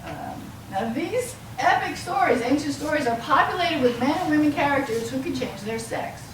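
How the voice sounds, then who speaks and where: A woman giving an explanatory academic lecture to an audience, with a halting delivery. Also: sits high, very animated. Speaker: university lecturer. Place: lecture room, mic some way off. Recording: roomy, distant mic, clearly noisy.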